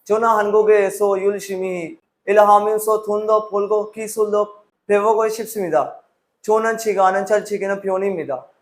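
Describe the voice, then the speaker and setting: A man's voice: sits high, flat delivery, normal speed. A man in his early twenties from Pakistan, a learner with a Pakistani accent, giving rehearsed formal interview answers. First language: not Korean, a learner. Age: early 20s. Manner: recited, formal. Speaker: student practising for a work-visa interview.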